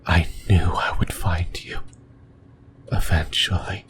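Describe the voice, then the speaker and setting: A man voicing a lonely, possessive demon in a breathy, brooding whisper for an ASMR roleplay. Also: low-pitched, little pitch movement. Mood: tender, yearning. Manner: slow, halting, brooding delivery. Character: lonely, possessive sleep paralysis demon, lost and yearning.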